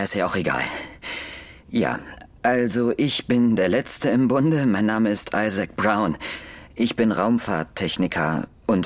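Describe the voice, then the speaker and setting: An actor playing a laid-back, slightly awkward spaceflight engineer in a radio drama, talking in a hesitant, offhand way. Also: male voice, lowish voice, no room sound.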